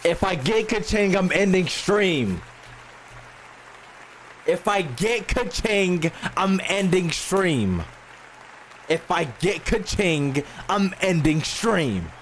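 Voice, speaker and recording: A man's voice: high-pitched, expressive, slow, a bit bright, a faint crowd, slight background noise. A man livestreaming a game, chatting casually to his viewers in slangy, profane, joking talk. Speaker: game streamer.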